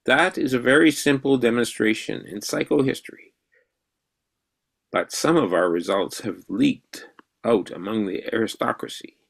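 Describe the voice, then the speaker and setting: An actor playing an elderly, intense mathematician who quizzes his pupil in a deliberate professorial way in a radio drama. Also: mid-range voice, creaky, fairly bright tone. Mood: calm assurance. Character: elderly, intense mathematician mentor, excited and probing.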